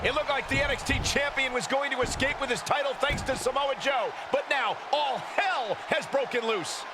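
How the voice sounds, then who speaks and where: An actor playing an excited TV wrestling commentator in a video game, calling the action dramatically and emphatically. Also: male voice, very high voice, moderate pace. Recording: loud noisy arena sound behind voice.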